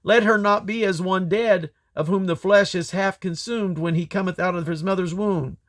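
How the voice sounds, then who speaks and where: A man's voice: sits high, steady tone, moderate pace, voice pushed up, lightly acted. A man voicing a distressed man who begs his lord for mercy in a scripture reading, pleading emphatically. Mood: anguished pleading.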